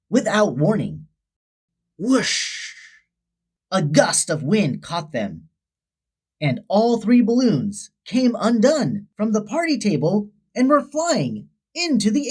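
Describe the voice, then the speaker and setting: Very animated woman reading a children's story. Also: mid-range voice, husky. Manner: dramatic, expressive storytelling. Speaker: storybook narrator. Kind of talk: scripted children's story read-aloud.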